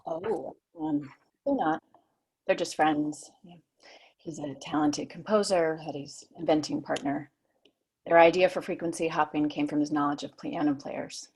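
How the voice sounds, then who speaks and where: An actress playing a self-effacing, shy, nerdy woman with a halting, awkward way of talking in a script read. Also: deep voice, a little mellow, husky, exaggerated voice, low-quality recording. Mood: chatty. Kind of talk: table read of a screenplay, romantic banter.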